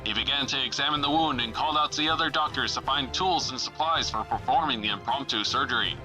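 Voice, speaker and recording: A man's voice: mid-range voice, rough recording. A man giving dramatic scripted narration for an old-time adventure serial, in a theatrical announcer manner.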